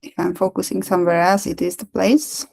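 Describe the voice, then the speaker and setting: A woman's voice: on the low side, level tone, fairly quick, gravelly, a little mellow. A woman hosting an online meetup on a video call, talking in a hesitant way with many restarts and fillers. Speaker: meetup host. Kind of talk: casual chat on a video call.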